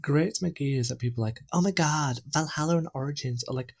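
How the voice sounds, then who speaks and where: A man from England co-hosting a casual gaming podcast, running low on sleep and rambling in an animated, self-deprecating way. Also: fairly quick, gravelly. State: tired from lack of sleep. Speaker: podcast co-host.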